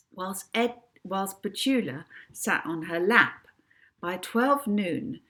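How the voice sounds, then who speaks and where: Low-pitched woman reading a children's story. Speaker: author reading her own book. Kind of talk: children's audiobook narration with casual introduction.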